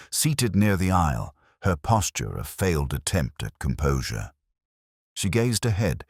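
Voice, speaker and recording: A man narrating a first-person detective story in a solemn, measured, literary manner with a husky voice. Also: rumbling low, dry recording, clean studio sound.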